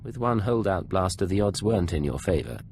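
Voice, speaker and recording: A composed, suave spy in a video game. His voice is deep and moves with lively intonation, measured and controlled. Faint noise lies behind it. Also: vocal fry. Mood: cool, measured. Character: cool, controlled male imperial secret agent. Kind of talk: scripted video game acting.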